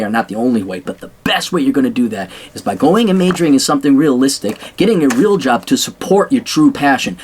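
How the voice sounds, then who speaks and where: A man's voice: a little high, husky. A man talking straight to camera in a blunt, sarcastic rant, swearing freely and rambling with restarts. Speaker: vlogger giving blunt career advice. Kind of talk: casual monologue to camera.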